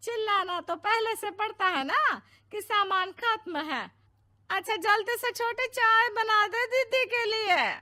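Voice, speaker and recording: A woman's voice: extremely high pitch, takes it slowly, bright, forceful tone, full character voice. A woman playing a worn-out, complaining middle-aged mother in a dramatised moral story. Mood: nagging. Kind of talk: animated moral-story dialogue.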